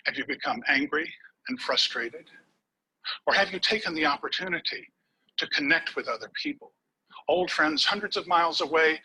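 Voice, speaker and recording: Husky-voiced man giving a contest speech. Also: a little high, sharp tone, poor audio. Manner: dramatic pauses and dropped whispers for emphasis. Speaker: contest speaker. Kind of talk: prepared speech delivered online.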